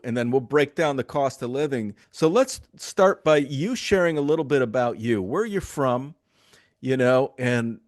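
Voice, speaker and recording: A man's voice: mid-range voice, no room sound, clean studio sound. A man hosting an online interview, enthusiastic and casual, often repeating words as he starts a sentence. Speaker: show host.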